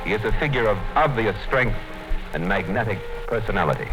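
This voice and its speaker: A man narrates a 1950s radio play in a grave, deliberate tone. His pitch moves a great deal. Music plays clearly under the old broadcast. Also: lively intonation, low-quality recording.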